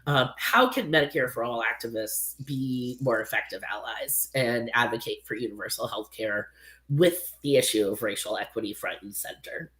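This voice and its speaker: A woman's voice: very deep voice, normal speed, a bit bright, low-quality recording. A woman from Texas hosting a casual podcast interview, a little sniffly with a sore throat, loose and laughing. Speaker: podcast host.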